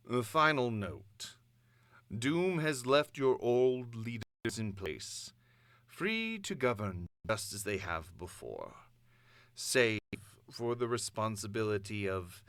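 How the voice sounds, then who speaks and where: A man playing an imperious, cold comic-book supervillain dictator, delivering a measured, grandiose address with pompous authority. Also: on the low side, a bit bright, dry recording, studio quality, full character voice. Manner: measured, pompous, speaking of himself in third person. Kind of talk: dramatic comic-book villain monologue, broadcast address.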